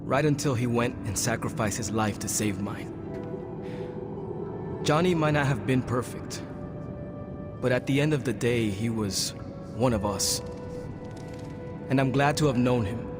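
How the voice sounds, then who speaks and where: A man playing an awkward, earnest young hero who speaks haltingly in a video game cutscene. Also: mid-range voice, music in the background, close to an everyday voice.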